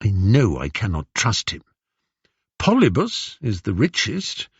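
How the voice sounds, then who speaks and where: A man's voice: fairly low pitch, huge pitch swings, measured pace, vocal fry, husky, no echo, muffled recording, exaggerated voice. A man voicing a gracious, weary queen in a storybook, speaking with formal, regal courtesy. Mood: anguished. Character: gracious, weary, dignified queen, a grown woman.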